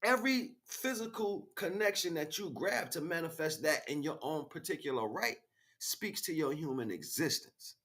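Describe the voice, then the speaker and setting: An American man giving an emphatic, freestyle spiritual monologue in street slang, repeating phrases as he goes, with a husky voice. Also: on the high side, lively intonation, moderate pace, a bit bright. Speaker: spiritual livestream speaker.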